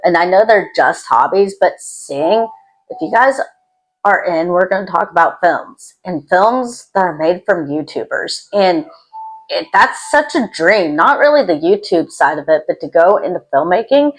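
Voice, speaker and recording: A woman's voice: fairly low pitch, expressive, a little slow, low-quality recording. A woman talking casually to camera as a YouTube host, in an introverted, self-deprecating and rambling way. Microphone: external microphone.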